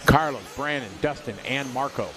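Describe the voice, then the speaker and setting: A man calling a radio-controlled car race live, giving quick play-by-play and reading out viewer messages. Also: medium pitch, music in the background, poor audio. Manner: rapid play-by-play, reads viewer chat aloud. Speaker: race commentator.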